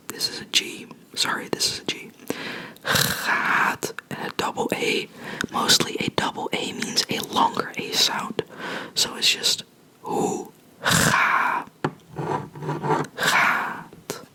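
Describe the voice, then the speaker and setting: A person giving a whispered ASMR language lesson, explaining in a soft, rambling, chatty way close to the microphone. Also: slow pace. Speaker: language teacher.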